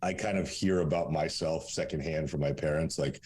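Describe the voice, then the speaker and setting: A man's voice: rumbling low, fairly even intonation, moderate pace, darkish tone, husky. A man in his forties from Russia, whose first language is Russian, speaking as a coach in a candid podcast interview, blunt and profane, rambling with fillers. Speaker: fitness coach and guest.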